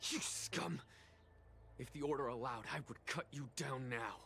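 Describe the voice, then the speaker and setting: An actor playing a stern, contemptuous soldier in a video game, speaking in a curt, scornful way. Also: male voice, medium pitch, measured pace, vocal fry, pushed and bright. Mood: scornful, angry. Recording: game audio with music.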